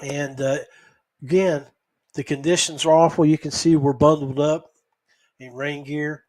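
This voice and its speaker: Halting, folksy man recapping casually. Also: a little high, fairly even intonation, darkish tone, rough recording. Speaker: podcast host. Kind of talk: casual unscripted fishing recap.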